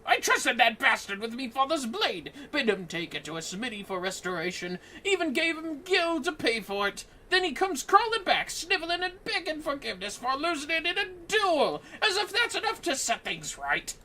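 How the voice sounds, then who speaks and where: A man's voice: very high-pitched, bright, forceful tone, slight background noise, voice pushed up, heavily acted. A man voicing an outraged, melodramatic young man in a fantasy game, ranting shrilly. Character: outraged, wronged young man, loudly cursing and lamenting.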